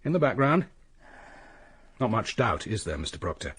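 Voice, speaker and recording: An actor playing a coolly courteous, relentless police inspector in a radio drama, formal and probing. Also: male voice, on the low side, very animated, normal speed, fairly bright tone, slightly rough, exaggerated voice. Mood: probing, controlled.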